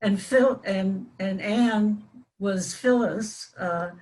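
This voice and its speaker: An older woman speaks slowly and reflectively, weighing her words with small pauses. She answers as a panel guest. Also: mid-range voice, a little mellow, poor audio. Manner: measured, reflective. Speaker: panel guest, former child radio performer.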